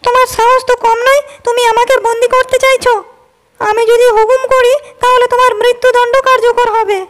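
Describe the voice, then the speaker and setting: A woman's voice: very high-pitched, flat delivery, brisk. A woman voicing a defiant, scornful young princess. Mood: haughty. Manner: sharp and scornful. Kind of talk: cartoon voice acting.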